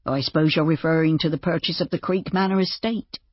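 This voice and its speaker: Narrator putting on a cool, self-assured gentleman, husky. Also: medium pitch, dry recording, dull audio, natural voice. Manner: casual, deliberate, unruffled. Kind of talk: audiobook character dialogue.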